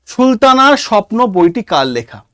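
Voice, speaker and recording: A man's voice: high voice, very animated, measured pace, fairly bright tone, no echo, clean studio sound. A man with a Bengali accent teaching an online exam-prep class, talking informally to students and repeating points emphatically as if dictating.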